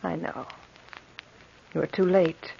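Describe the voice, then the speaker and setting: A woman's voice: on the low side, steady tone, full character voice. A woman playing a serene, sinister supernatural spinner of fates in an old radio horror drama, murmuring ominously. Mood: sly, proud. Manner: measured, ominous, breathy murmuring. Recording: dull old radio recording.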